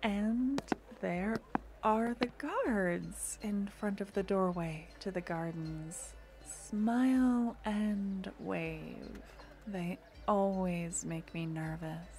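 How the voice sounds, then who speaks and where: A woman playing a soft-spoken necromancer girlfriend. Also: medium pitch, drawn-out words, music in the background. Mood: tender. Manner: soft and intimate, often whispering. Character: gentle young female necromancer girlfriend, a politician. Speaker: ASMR roleplay performer. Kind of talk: ASMR romantic fantasy roleplay.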